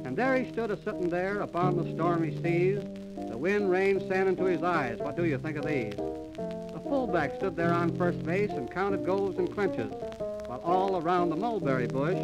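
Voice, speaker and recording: A man's voice: sits high, moderate pace, over loud music, natural voice, poor audio. A man playing a daffy poet who recites nonsense verse with melodramatic grandeur in a 1930s radio comedy. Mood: mock-grand. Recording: early 1930s radio transcription disc.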